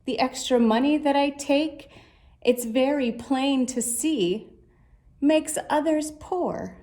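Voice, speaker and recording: A woman's voice: on the high side, dark tone. A woman voicing a small, eager and pushy rich male tax collector in a children's storybook, in an exclaiming way. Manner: pleading, indignant, exclaiming. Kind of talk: storybook character voice.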